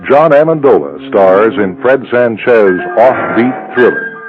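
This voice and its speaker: A man's voice: mid-range voice, sing-song, measured pace. A man reading scripted narration as the announcer of a radio drama, on a dull old radio recording. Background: music.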